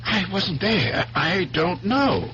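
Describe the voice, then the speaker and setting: An actor playing a defensive, evasive professional man, measured and reluctant, in a 1970s radio drama. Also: high-pitched, very animated, heavy background noise, heavily acted. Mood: defensive, uneasy. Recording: muffled humming 1970s radio recording.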